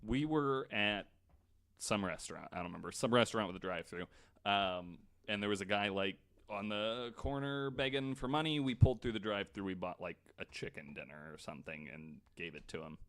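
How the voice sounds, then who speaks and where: A man's voice: on the low side, fairly quick, fairly bright tone. A man chatting in a casual, unscripted way as a panelist on a podcast.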